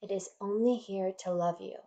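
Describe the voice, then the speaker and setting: A woman leading a guided meditation in a gentle, soothing, measured manner, leaving pauses between instructions. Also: mid-range voice, steady tone, muffled recording.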